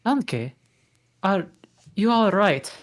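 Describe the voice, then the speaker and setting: A woman reading a gruff male ogre in love at a table read, low and gruff. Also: lively intonation, slow. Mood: worried.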